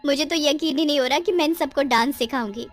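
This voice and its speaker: A woman voicing an eager but timid young village girl in a cartoon story, earnest and expressive. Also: sits high, fast talker, soft background music, natural voice. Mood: eager, bright. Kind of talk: Hindi cartoon story acting.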